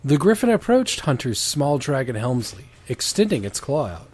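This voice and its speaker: A man's voice: medium pitch, very animated, normal speed, darkish tone, husky. A man doing an informal dramatic reading of fan fiction, with casual, teasing asides. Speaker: reader on a comedy reading show.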